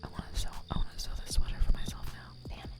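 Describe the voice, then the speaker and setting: A woman live streamer whispering softly to her viewers, playful and giggly, rambling with many fillers, close to the microphone. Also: rapid-fire. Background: music playing.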